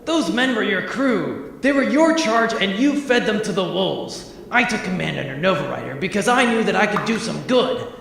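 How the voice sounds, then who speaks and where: A man's voice: high voice, very animated, normal speed, gravelly, fairly bright tone, slight background noise, voice pushed down, heavily acted. A man voicing an earnest, melodramatic space officer hero in a comic audio drama. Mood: grave. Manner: melodramatic, hesitant then commanding. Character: earnest, dutiful middle-aged male space officer, exasperated hero. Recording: very echoey hall reverb, faint music.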